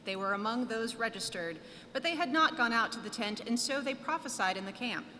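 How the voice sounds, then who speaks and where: Woman, bright projected voice, expressive Bible narration, echoing hall, slight background noise. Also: fairly high pitch, fairly quick. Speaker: scripture reader, narrator. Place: large church indoors. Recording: reverberant church, audible noise.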